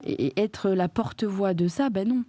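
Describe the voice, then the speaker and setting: A woman's voice: medium pitch, moderate pace, mellow, dry recording. A French woman talking as a guest in a casual chat, earnest and emphatic as she tells what happened to her. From France.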